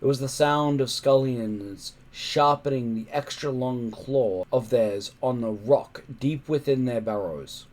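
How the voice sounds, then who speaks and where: A man reading a children's adventure story aloud in a dramatic, suspenseful way, with emphatic pauses. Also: medium pitch, darkish tone. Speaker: storybook reader.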